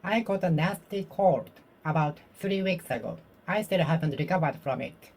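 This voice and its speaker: A Japanese-speaking learner practicing English alone, slowly and carefully repeating the same sentences, while getting over a cold. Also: mid-range voice, a little mellow. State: recovering from a cold. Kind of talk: self-study speaking practice, repeating phrases aloud.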